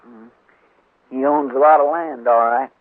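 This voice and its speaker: An actor playing an earnest, deferential cowboy deputy with a folksy in a 1950s radio western. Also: male voice, mid-range voice, steady tone, a little slow, no room sound, lightly acted. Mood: thoughtful. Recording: muffled old radio broadcast.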